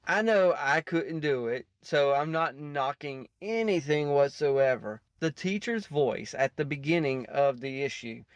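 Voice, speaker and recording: Male reaction host, slightly high-pitched, casual and rambling, dry recording. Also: expressive, slow pace, smooth, no room sound. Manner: rambling, self-interrupting. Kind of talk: casual off-the-cuff commentary.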